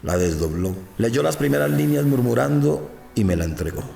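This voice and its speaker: Gravelly, confiding young priest narrator. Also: male voice, mid-range voice, expressive, mellow, roomy sound, distant mic, a little hiss or hum. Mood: watchful, suspenseful. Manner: confiding, measured, suspenseful. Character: earnest, uneasy young male seminarian priest, narrating. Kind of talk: dramatic first-person audio novel narration.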